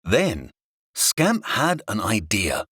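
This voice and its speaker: A man narrating a scripted children's story in a dramatic, expressive storytelling manner. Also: lowish voice, very slow, breathy edge, dry recording, clean studio sound.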